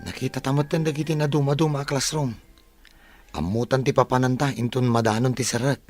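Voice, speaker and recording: A man's voice: medium pitch, little pitch movement, fairly quick, darkish tone, dry recording, soft background music, natural voice. A man playing a thrifty, good-humored but grumbling young student in an Ilocano radio drama.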